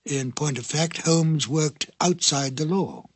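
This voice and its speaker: A man giving scripted narration in a measured, formal manner. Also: fairly high pitch, slightly rough, no echo. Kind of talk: scripted documentary-style narration.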